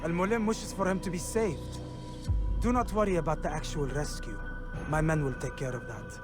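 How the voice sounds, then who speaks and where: An actor playing a stern, reproachful assassin superior in a video game, with a measured, formal, admonishing manner. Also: male voice, fairly high pitch, close to an everyday voice. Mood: admonishing, urgent. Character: stern, reproachful assassin bureau leader giving orders. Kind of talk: video game dramatic acting. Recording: music behind.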